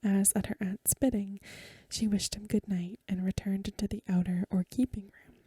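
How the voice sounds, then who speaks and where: Very breathy whispering woman reading softly. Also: mid-range voice, fairly even intonation, dry recording. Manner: gentle, hushed, measured reading. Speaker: ASMR host and reader. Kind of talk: ASMR soft-spoken story reading. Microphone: close microphone.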